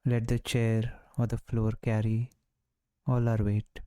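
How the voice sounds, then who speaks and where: A man leading a guided relaxation meditation, speaking calmly and soothingly, leaving long pauses between short phrases. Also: medium pitch, clean tone, dry recording. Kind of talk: guided body-scan meditation.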